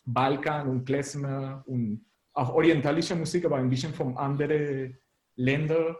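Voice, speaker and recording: A man's voice: mid-range voice, steady tone, moderate pace, a little mellow, roomy sound, poor audio. A man from Venezuela with a Venezuelan Spanish accent, answering casually as an interview guest and searching for words in a language that is not his native one. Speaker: interview guest, musician and graphic designer.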